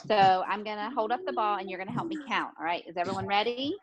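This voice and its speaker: A woman's voice: mid-range voice, expressive, fast, a crowd in the background. A woman teaching pre-kindergarten children interactively, asking questions and repeating their answers in an encouraging way. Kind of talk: interactive lesson for young children.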